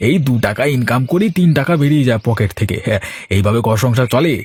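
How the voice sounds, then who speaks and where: A man's voice: mid-range voice, expressive, fast, a little mellow, a little hiss or hum, natural voice. A man voicing a poor, lazy village husband in a cartoon, whining and protesting like a boastful idler. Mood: boastful, resigned. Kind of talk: Bengali cartoon dialogue dubbing.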